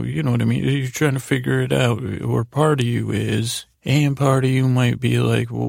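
A man speaks in a heavily fried, gravelly voice with very little pitch movement, as the host of a bedtime podcast. His monologue wanders and halts, doubling back on stumbled words. The sound is close and dry, with faint music underneath. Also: dry recording. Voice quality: creaky, gravelly. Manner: meandering, halting, stumbling over words. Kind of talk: rambling bedtime monologue to help listeners sleep.

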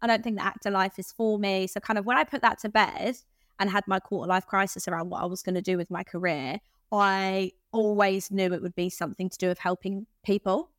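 A woman's voice: medium pitch, steady tone, a little fast, a bit bright, no echo, studio quality. Chatty British woman guest. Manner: chatty, laughs easily. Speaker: podcast guest. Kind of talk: casual chat.

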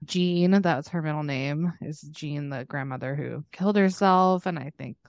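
Chatty woman podcaster, lowish voice, dry sound. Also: moderate pace, creaky, a little mellow, no room sound. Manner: giggly, laughs often while talking; conversational, frequent "like" and "you know". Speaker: podcast co-host. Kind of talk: casual pop-culture chat.

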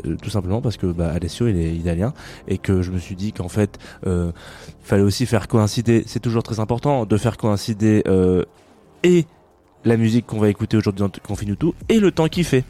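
A man's voice: on the low side, warm tone. A man hosting a live radio show, chatting casually with plenty of fillers, restarts and self-mocking jokes. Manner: hesitant, many fillers and restarts. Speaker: radio host.

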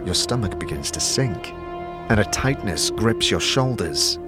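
A man's voice: on the low side, lively intonation, a little slow, music in the background. A man narrating a fantasy audio drama as a storyteller, in scripted prose. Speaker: narrator and player character.

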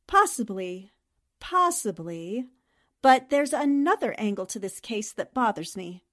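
A woman playing a shrewd, composed newspaper columnist and amateur sleuth in a radio mystery drama, speaking with deliberate, storytelling delivery. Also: on the high side, sing-song, a bit bright, heavily acted. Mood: pensive.

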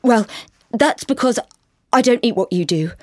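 A moody young vampire boyfriend who feeds on tears, whining and self-pitying, then smugly needling his girlfriend, as a woman narrator puts him on. His voice is mid-pitched and plain, recorded close and dry with no room sound. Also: animated, normal speed, a little mellow, no echo. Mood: sheepish. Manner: whiny, self-pitying, manipulative.

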